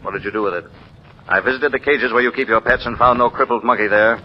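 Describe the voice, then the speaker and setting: An actor playing an invisible, commanding vigilante interrogator in an old-time radio drama, accusing and clipped. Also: male voice, mid-range voice, fast, natural voice. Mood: cool, probing. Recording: muffled, noisy vintage radio recording.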